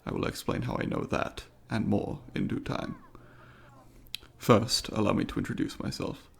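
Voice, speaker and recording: A man's voice: lowish voice, fairly even intonation, normal speed, gravelly, breathy edge, exaggerated voice. A man voicing a polite, eager alien student in an ASMR roleplay, speaking formally and precisely to the listener in an inquisitive, apologetic way. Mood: careful, reassuring.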